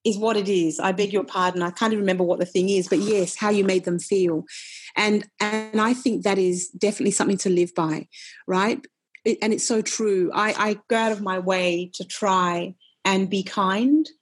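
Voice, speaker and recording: A middle-aged woman, a wellness coach, talking in a casual interview, forceful and passionate, with many quick restarts. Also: medium pitch, steady tone, slightly rough. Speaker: nutritionist and wellness coach, guest.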